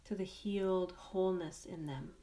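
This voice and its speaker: A woman leading a guided meditation in a gentle, soothing manner, speaking in short unhurried phrases with long pauses. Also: lowish voice, steady tone.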